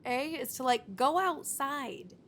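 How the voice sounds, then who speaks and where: Casting: female game streamer, clearly high voice, casual wry reactions over faint noise. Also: expressive, takes it slowly, a bit bright. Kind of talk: casual live game commentary.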